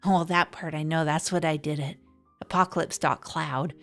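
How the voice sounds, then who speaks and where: A woman playing an earnest, reflective small-town radio host, musing haltingly in an improvised comedy audio drama. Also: deep voice, fairly even intonation, fairly bright tone, faint music behind.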